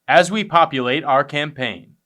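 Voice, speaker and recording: A man's voice: on the high side, moderate pace, sharp tone. A man reading a scripted closing announcement as the announcer.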